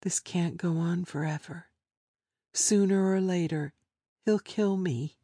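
A trapped young woman, her voice pushed high and breaking with desperation, as a man puts her on. The pleas come slow and shaky in a dry, close audiobook read. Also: fairly even intonation, mellow, no echo, heavily acted. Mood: hopeless. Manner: pleading, breaking.

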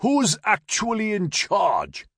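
A man's voice: medium pitch, sing-song, normal speed, a bit bright, husky, no echo, clean studio sound, voice pushed up. A man voicing an obnoxious, entitled young aristocratic army officer in an audiobook, pompous and blustering with indignation. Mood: shrieking indignation.

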